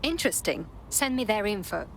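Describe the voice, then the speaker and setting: A woman's voice: mid-range voice, expressive, a little fast, a little hiss or hum, close to an everyday voice. A woman playing a cool, determined resistance operative with a terse, resolute manner in a video game.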